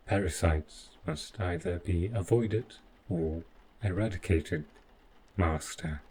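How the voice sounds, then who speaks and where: A man playing an overprotective, possessive robot butler in an audio roleplay, calmly menacing yet devoted, with formal, measured, clinical phrasing. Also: sits low, slight background noise, exaggerated voice, poor audio. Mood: cold, clinical. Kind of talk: scripted audio roleplay addressed to the listener.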